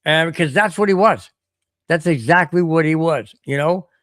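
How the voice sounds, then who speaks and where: A man hosting a solo podcast stream, ranting animatedly and repeating himself while his voice wears hoarse. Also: a little high, moderate pace, a bit bright, dry recording, studio quality. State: voice getting hoarse. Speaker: podcast host. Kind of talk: solo livestream rant reading chat.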